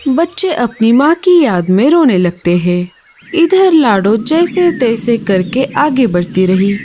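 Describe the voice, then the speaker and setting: A woman's voice: on the high side, big pitch swings, slow, warm tone. A woman storyteller reading a scripted children's story.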